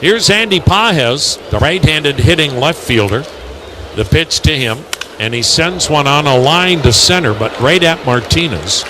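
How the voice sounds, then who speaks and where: A man's voice: a little high, expressive, unhurried. An American man calling a baseball game live on the radio as play-by-play announcer. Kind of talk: live radio sports commentary. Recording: radio broadcast over loud ballpark noise.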